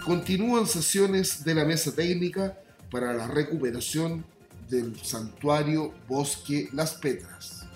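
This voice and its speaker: A man's voice: on the high side, takes it slowly. A man with a Chilean accent presenting a scripted radio news bulletin. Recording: roomy echo, music bed behind. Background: music.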